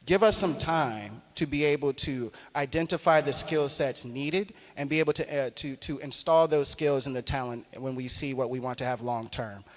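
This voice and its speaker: A man's voice: medium pitch, moderate pace, hardly any pauses. Guy on a panel in a big echoey hall, lots of ums, earnest. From outside Nigeria. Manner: earnest, many ums and restarts. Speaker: panelist, startup founder. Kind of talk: panel discussion talk. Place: conference hall.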